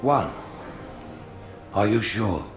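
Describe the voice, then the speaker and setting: An actor playing a stern ancient alien high priest who speaks gravely and solemnly, passing judgment. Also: male voice, sing-song, very slow, music in the background, exaggerated voice. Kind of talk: game cutscene acting. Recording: orchestral score behind.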